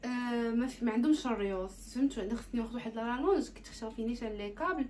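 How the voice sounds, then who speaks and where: A woman's voice: a little high, racing, darkish tone. A woman in her twenties with a Moroccan accent, chatting casually to the camera in an informal home vlog, with French loanwords mixed in. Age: 20s. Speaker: lifestyle vlogger. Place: indoors in a bedroom at home. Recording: home recording with clear background noise.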